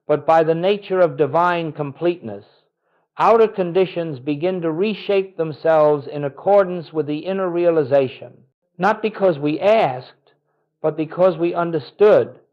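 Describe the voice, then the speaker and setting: A man with a slightly high voice leads a guided spiritual contemplation. His delivery is solemn, reverent and measured, with deliberate phrasing like a scripted teaching. The recording sounds a little dull and muffled.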